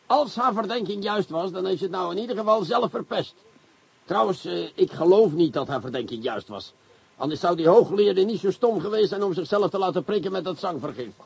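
A man playing a grumbling, sceptical police commissioner in a radio drama, husky and fussily exasperated. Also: high-pitched, expressive, a little fast, a little mellow, full character voice. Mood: argumentative. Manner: fussy, exasperated, hesitant. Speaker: actor.

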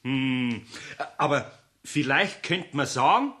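A man's voice: medium pitch, expressive, sharp tone. A man with a Bavarian accent playing a kindly, good-natured older master carpenter in a radio play, chuckling heartily. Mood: fond. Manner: chuckling, hearty, drawn-out exclamations. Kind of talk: 1960s German radio play acting.

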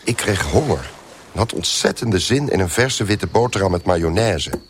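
An actor playing a trapped, bewildered man who tells his own ordeal in a radio drama, in a deliberate, musing, wry manner. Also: low-pitched, lively intonation, background noise. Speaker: narrator and lead character. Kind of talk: radio drama first-person narration.

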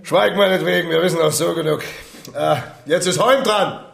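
A man's voice: a little high, moderate pace, vocal fry, a bit bright. An actor playing a carousing king in a radio drama, imperious and teasing toward his companions. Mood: commanding. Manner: imperious, jovial, probing.

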